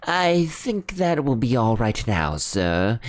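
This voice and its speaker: A man voicing a deferential, anxious male butler in a high, fluttery, shocked voice for an audiobook reading. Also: sing-song, takes it slowly. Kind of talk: audiobook character voice.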